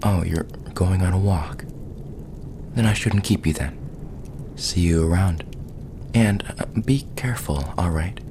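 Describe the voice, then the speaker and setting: Low-pitched male delivery guy, acted by a man, polite, nosy, faintly ominous, casual ASMR roleplay, noisy recording. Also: unhurried, long pauses. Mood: curious, ominous. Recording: clearly noisy background.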